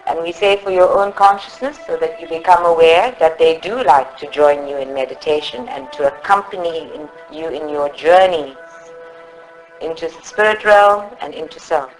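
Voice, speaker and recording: A woman from South Africa leading a guided meditation in a soothing, instructive manner, with long pauses between short phrases. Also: deep voice, soft background music, low-quality recording. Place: live group meditation session at a centre.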